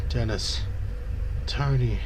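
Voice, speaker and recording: A man playing through a story game, reading it aloud and commenting casually, thinking out loud with some hesitation. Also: slow pace. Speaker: gaming video host. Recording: clear rumbling background noise.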